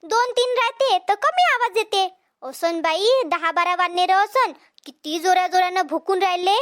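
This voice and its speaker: A woman's voice: extremely high pitch, normal speed, a bit bright. A woman playing a chatty, helpful village farm worker in a cartoon comedy, speaking homely Marathi with a Vidarbha accent. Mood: wary.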